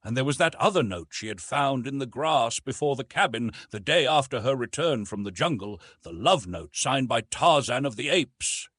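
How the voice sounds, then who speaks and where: A man reading an adventure novel as an audiobook, with expressive, dramatic storytelling. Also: mid-range voice, moderate pace, few pauses, slightly rough, no echo, clean studio sound. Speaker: audiobook narrator. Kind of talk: scripted narration of an adventure novel.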